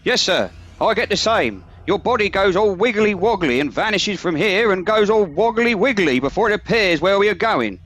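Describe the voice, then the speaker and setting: A man playing an excitable, high-pitched old soldier. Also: moderate pace, hardly any pauses. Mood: flustered. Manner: deferential, rambling, comically wordy. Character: eager, excitable old soldier as starship security chief. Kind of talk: comic radio play acting.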